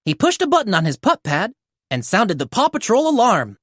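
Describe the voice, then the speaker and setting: A woman narrating a children's storybook aloud in an animated read-aloud style. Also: mid-range voice, normal speed, no echo, studio quality. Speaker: storybook reader.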